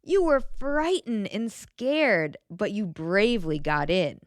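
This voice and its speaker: A woman reading a children's picture book aloud in a gentle, expressive way, pausing to put questions to the young listener. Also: medium pitch, no room sound, studio quality. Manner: gentle, expressive, clearly enunciated storybook delivery.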